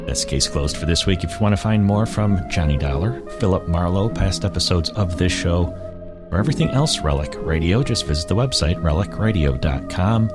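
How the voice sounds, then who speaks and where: A deep-voiced man reads scripted podcast host narration. Loud music plays beneath his low voice throughout. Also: animated, brisk.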